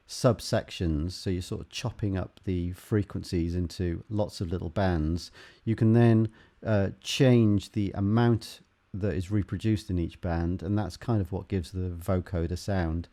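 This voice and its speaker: Low, creaky-voiced man giving a tutorial. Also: dark tone. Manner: halting, thinking aloud while working. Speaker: music production instructor. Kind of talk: unscripted software tutorial, explaining while demonstrating.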